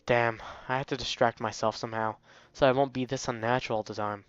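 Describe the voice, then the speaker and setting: A man's voice: mid-range voice, fairly even intonation, quick pace, dry recording, lo-fi sound. A man hosting a let's play, reading a visual novel aloud and breaking off for wry, mocking commentary. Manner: wry, mocking asides to the game.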